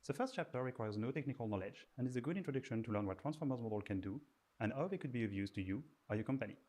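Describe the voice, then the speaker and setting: A man delivers scripted narration for a course introduction video. He speaks at a rapid clip in a brisk, informative manner, his pitch sitting in a middle range. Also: expressive, a little mellow.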